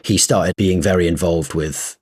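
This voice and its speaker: A man's voice: sits low, steady tone, a little slow, slightly rough. A man co-hosting a casual podcast, explaining ideas at length while thinking aloud, with frequent restarts and small laughs. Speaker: podcast co-host.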